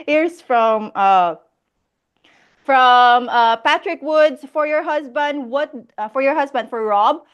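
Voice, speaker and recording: A woman's voice: a little high, clear, resonant tone. A Filipino woman hosting a casual live Q&A, reading out viewer questions and laughing often. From Philippines. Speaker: host of a live Q&A.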